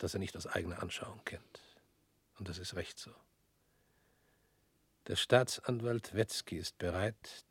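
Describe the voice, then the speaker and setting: An actor in a radio drama playing a weary former prosecutor who speaks slowly and drops to near whispers. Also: male voice, sits low, husky, dry recording. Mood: grave.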